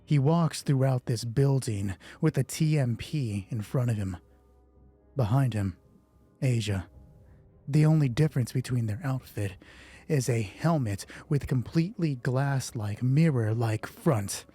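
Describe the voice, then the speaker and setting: A man narrating a solo tabletop roleplay as host, moving between measured storytelling and casual asides. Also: medium pitch, animated, dark tone, breathy edge.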